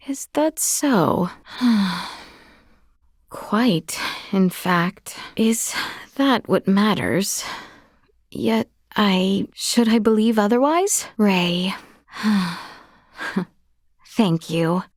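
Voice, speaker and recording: A woman playing a gracious, earnest young princess knight in a fantasy video game, speaking with formal, courtly, measured diction. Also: mid-range voice, dry recording, studio quality. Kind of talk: video game character dialogue.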